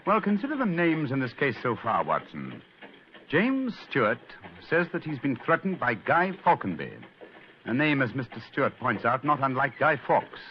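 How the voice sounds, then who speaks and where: An actor playing a confident, slightly superior English gentleman detective in a radio mystery, precise and lecturing, with a British accent. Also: medium pitch, very animated, moderate pace, lightly acted. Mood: explanatory, confident. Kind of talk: old-time radio mystery acting. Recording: dull, faintly noisy old radio recording.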